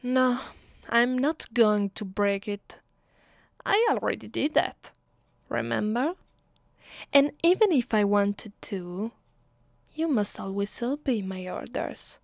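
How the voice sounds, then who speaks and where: A woman acting out a haughty vampire mistress with an Italian accent, speaking slowly and deliberately. She is domineering and cruel, giving orders in an intimate ASMR roleplay style. The sound is dry and close. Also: fairly high pitch, animated, creaky, dry recording, exaggerated voice. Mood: cold, dismissive. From Italy. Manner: commanding, deliberate. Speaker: voice actress. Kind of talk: ASMR roleplay, close and slow with whispered moments.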